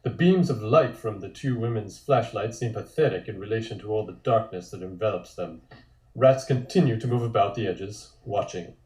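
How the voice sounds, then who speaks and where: A man's voice: lowish voice, moderate pace, mellow. A man narrating scripted stage directions for a table read, with dry, wry asides. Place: indoor room, multistream call. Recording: roomy, echoing home recording.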